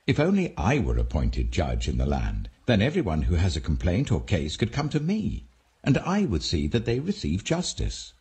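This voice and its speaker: Ambitious scheming prince played by a male reader, British, theatrical and persuasive, mellow tone, wildly varied intonation, audiobook. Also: deep voice, huge pitch swings, moderate pace, slightly rough, heavily acted. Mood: wistful, persuasive. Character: smooth-talking ambitious young prince, scheming.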